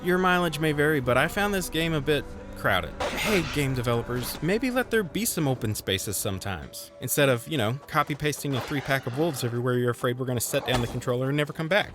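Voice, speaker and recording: A man of middle pitch narrates a video game review over faint background music. He reads from a script but stays conversational and wry, dropping self-deprecating asides as he goes. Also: expressive, fairly quick, few pauses.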